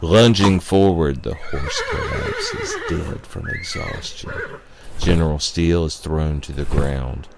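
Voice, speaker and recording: Very deep-voiced man narrating horror. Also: wildly swooping pitch, takes it slowly, very noisy. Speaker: storyteller. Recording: music under the voice.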